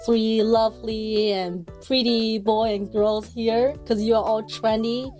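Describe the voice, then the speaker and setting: A woman's voice: on the high side, steady tone, measured pace, darkish tone, faint music behind. A woman from China hosting a casual podcast chat, talking in a chatty way full of fillers and restarts. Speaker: podcast host.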